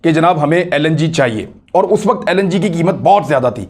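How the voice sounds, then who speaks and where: A man's voice: a little high, expressive, brisk. A man with a Pakistani accent giving explanatory news commentary in an emphatic way, repeating key phrases and asking rhetorical questions. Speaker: political commentator hosting own online video.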